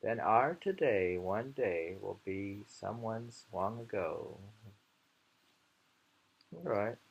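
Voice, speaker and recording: A man reading poetry slowly and commenting on it casually, in an unhurried, musing and informal manner. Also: fairly low pitch, expressive, creaky, breathy edge, dull audio. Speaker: poetry reader.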